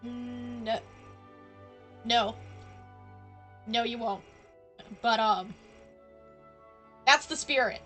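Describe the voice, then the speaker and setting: A woman's voice: on the high side, fairly even intonation, extremely slow, pauses often, bright, forceful tone, soft background music. A woman live-streaming a video game, giving casual commentary with playful, teasing reactions.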